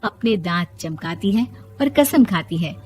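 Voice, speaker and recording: A woman's voice: medium pitch, lively intonation, measured pace, darkish tone. A woman storyteller reading scripted narration for a moral story.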